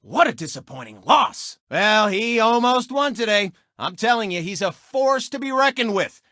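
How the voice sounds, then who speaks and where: A man's voice: high-pitched, sing-song, moderate pace, pushed and bright, no room sound, clean studio sound, exaggerated voice. A man voicing a cocky, egotistical race car who hosts a TV racing show, with boastful, mocking showboat delivery in a video game. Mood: showy announcing. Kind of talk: animated video game sportscast patter.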